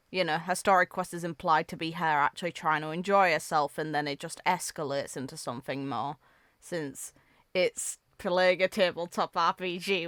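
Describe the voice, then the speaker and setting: Clear, lowish-voiced woman giving casual reactions. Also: a bit bright, dry recording, clean studio sound. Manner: musing, hedging, conversational. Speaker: video game reaction creator.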